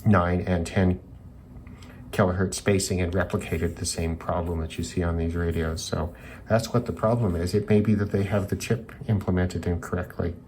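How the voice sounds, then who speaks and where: A man with a low voice talks through electronics in an unscripted, hobbyist way. He sounds musing and tentative, working things out as he goes. There is some noise behind him in the recording. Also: steady tone, normal speed, a little mellow. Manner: musing, thinking aloud, tentative. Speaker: hobbyist reviewer of radios. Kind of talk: unscripted electronics teardown commentary.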